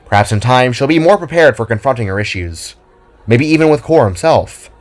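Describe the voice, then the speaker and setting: A man's voice: mid-range voice, animated, brisk, faint music behind. A man reading scripted fiction narration as the storyteller.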